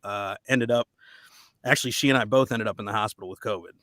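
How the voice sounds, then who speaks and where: A man's voice: on the low side, fast, a bit bright, dry recording. An American man chatting casually and appreciatively, an auditioning voice actor speaking from a home sound booth. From United States. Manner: effusive, appreciative, informal. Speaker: auditioning actor and voice-over performer. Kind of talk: casual, friendly chat.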